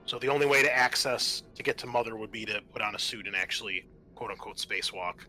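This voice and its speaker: Guy game mastering an rpg, casual, forceful bright voice, bit of music behind. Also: lowish voice, fast. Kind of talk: narrating a tabletop role-playing game.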